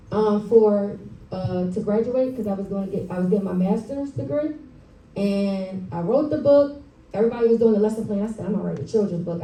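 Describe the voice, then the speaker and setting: A woman's voice: fairly high pitch, warm tone. A woman who teaches and writes children's books, giving an encouraging live talk straight to an audience of children and families. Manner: encouraging, addresses the audience directly. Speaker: children's author and school teacher. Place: library event room, speaking to a live audience. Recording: roomy, echoey live event, distant mic.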